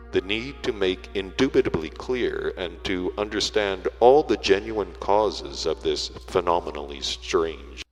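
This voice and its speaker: A man reading a literary story aloud as an audiobook narrator, in a measured, formal storytelling delivery. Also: sits low. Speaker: audiobook reader. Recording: echoey hall reverb, music behind.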